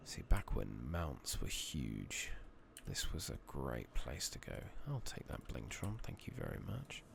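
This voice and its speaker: A man with a very low voice, heavy with vocal fry, softly narrates his game in an ASMR style. His hushed delivery rises and dips in a lively way as he muses to himself, unhurried, at times close to a whisper. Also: noisy background. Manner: hushed, murmured, sometimes slipping into whisper. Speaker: gaming channel host. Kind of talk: soft-spoken ASMR game playthrough commentary.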